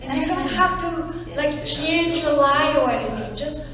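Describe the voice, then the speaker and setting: Animated Mexican-accented woman in echoey hall. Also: sits high, crowd noise. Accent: Mexican Spanish. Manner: animated, emphatic, quick asides. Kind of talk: panel discussion. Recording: echoey hall, far from mic.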